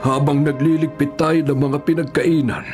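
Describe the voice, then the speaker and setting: A devout old man in prison played by a male actor speaks in an earnest, preaching way. He is patient and forgiving, in radio drama style, with soft music behind. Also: on the high side, little pitch movement, normal speed, natural voice. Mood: gentle, earnest.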